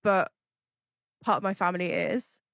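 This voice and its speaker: A woman from Cornwall co-hosting a bookish podcast, chatting in a relaxed, agreeable way. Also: on the low side, moderate pace, no room sound, clean studio sound. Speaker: podcast co-host. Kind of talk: casual podcast chat.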